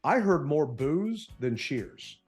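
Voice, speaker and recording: Man telling an animated sports-fan story. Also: a little high, slow, faint music behind. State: voice strained from yelling. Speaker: podcast co-host. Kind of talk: casual sports chat.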